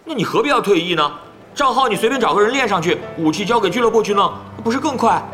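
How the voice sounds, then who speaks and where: Brief: man voicing an excitable pro gamer, high and very lively, with music under the audio drama. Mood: prodding. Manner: rapid, pestering, excitable chatter. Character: chatty, nosy young male pro gamer. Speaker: voice actor. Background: background music.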